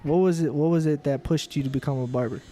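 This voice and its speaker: A man's voice: fairly high pitch, steady tone, fast, mellow, a little hiss or hum. A young man hosting a podcast, chatting casually with a friendly, teasing manner. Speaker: podcast host.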